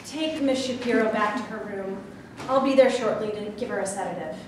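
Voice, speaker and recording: A woman acting a firm, formal hospital doctor in a staged reading, speaking in a measured, polite way. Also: medium pitch, darkish tone, natural voice. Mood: clinical, firm. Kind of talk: amateur staged reading of a play. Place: live staged reading in a reverberant room. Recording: very echoey room, distant mic, faint noise.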